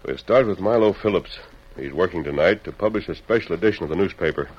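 An actor playing a resolute, commanding masked hero in an old-time radio western. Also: male voice, deep voice, lively intonation, fast, darkish tone, slightly rough. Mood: decisive. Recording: muffled old radio recording.

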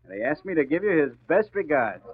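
An actor playing a tough, defiant young American test pilot captain with a scornful manner, in 1940s radio acting. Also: male voice, a little high, sing-song, a little fast, husky. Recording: tinny old radio recording.

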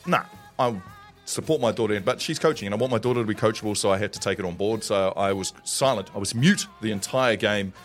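Booming-voiced New dad. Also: male voice, quick pace, soft background music. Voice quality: loud booming voice. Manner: storytelling, self-deprecating. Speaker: co-host. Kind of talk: casual morning show chat.

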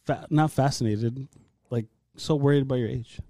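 A man's voice: medium pitch, moderate pace, dark tone, no echo. A man co-hosting a podcast, teasing and questioning a friend in casual chat and swearing now and then. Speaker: podcast co-host.

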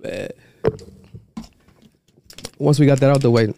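Animated man hosting a casual interview. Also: medium pitch, takes it slowly, creaky. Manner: hypes up the guest, animated and laughing. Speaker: podcast host.

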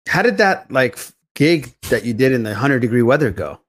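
A man's voice: medium pitch, a little fast. A man hosting a podcast in casual, chummy banter with his co-hosts. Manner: animated, chummy, addresses co-hosts as buddy. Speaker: podcast host.

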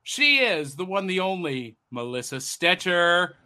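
A man's voice: high-pitched, big pitch swings, measured pace, pushed and bright. A man hosting a casual podcast over a video call, upbeat and giving his guests big buildups. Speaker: podcast host.

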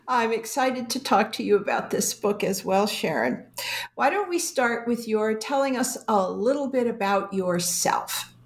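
A woman hosting a history book podcast, introducing and questioning her guest in a measured way. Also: fairly high pitch, expressive. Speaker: podcast host. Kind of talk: podcast interview.

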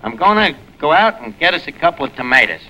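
Brash, animated wiseguy. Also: male voice, fairly high pitch, quick pace, highs cut off. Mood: brash, scheming. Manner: wisecracking, malapropism-prone, streetwise. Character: brash, scheming, slangy tavern manager, middle-aged. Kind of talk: 1940s radio comedy acting. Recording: very noisy vintage radio recording.

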